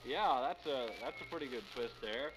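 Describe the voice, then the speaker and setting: A man working as a live radio announcer in the 1950s, coaxing children in a halting, folksy way and repeating their answers. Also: medium pitch, music in the background, rough recording. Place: toy store live broadcast.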